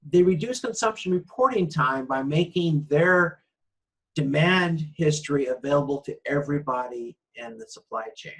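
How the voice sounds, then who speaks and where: A man with a slightly high-pitched voice gives an informal lecture. He explains in a conversational manner, with some halting phrasing as he goes. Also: low-quality recording.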